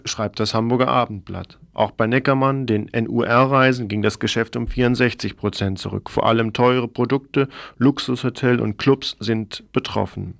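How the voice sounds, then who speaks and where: A male radio reporter with a noticeably creaky voice reads from a script. The delivery is formal and factual, at a middling pitch, recorded close and dry. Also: no room sound.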